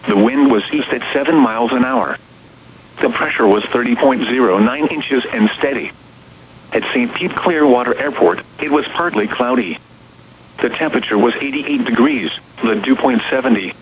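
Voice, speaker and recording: Over a muffled radio signal with faint noise comes a synthetic American man's voice at a middling pitch. He works as an automated weather announcer, reading scripted bulletins in short, formal segments. The delivery is measured and impersonal. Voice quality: synthetic text-to-speech voice. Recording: dull, noisy weather radio broadcast.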